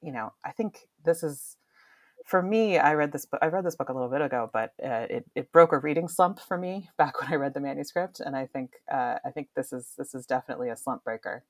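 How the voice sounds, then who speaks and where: A woman's voice: a bass voice, fairly quick, no room sound. A woman giving casual book recommendations in a conversational, enthusiastic way, like a publisher's presenter. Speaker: publisher's book marketing director.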